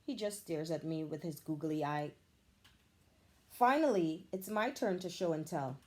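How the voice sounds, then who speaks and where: A woman, a teacher, reading a children's picture book aloud with expressive, animated storytelling. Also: low-pitched, a little slow.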